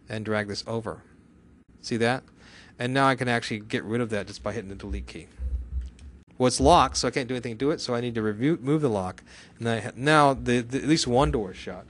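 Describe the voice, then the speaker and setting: Male software instructor, mid-pitched, chatty and informal, quizzing as he demonstrates step by step. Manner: chatty, asking and answering his own questions. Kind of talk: live software tutorial to a class.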